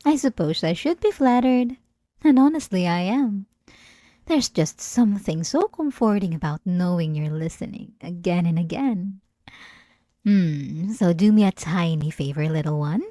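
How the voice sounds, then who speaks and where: A woman speaking as an ASMR creator, addressing listeners in a soft, intimate, teasing and coaxing way. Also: fairly low pitch, lively intonation, unhurried, dry recording, studio quality.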